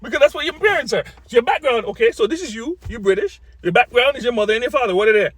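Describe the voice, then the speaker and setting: A man's voice: very high-pitched, lively intonation, very fast, a bit bright, husky, dry recording. A man in his thirties from Saint Vincent, teasing his partner in a playful couple's debate and repeating himself insistently. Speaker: co-host of couple's channel.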